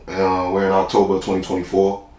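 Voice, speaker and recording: A man's voice: low-pitched, monotone, fast, darkish tone, roomy sound. A man hosting a podcast interview, laid-back and friendly, asking questions casually. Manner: laid-back, calls the guest "man" and "brother". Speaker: podcast host.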